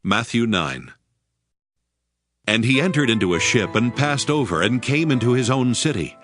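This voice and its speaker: A man with a mid-pitched, very expressive voice narrates scripture. His storytelling is formal and solemn, with clear music playing behind him. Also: moderate pace, no room sound.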